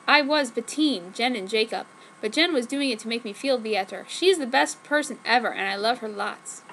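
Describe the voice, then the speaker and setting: A woman's voice: on the high side, normal speed, fairly bright tone, slight background noise, low-quality recording. A woman reading fanfiction aloud as a theatrical, mock-dramatic narration. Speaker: fanfiction reader.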